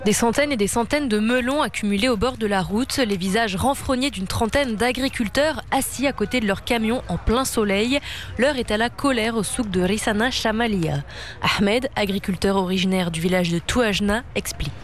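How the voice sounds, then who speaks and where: A woman reporter narrating a radio news report in a steady, descriptive way. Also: medium pitch, normal speed.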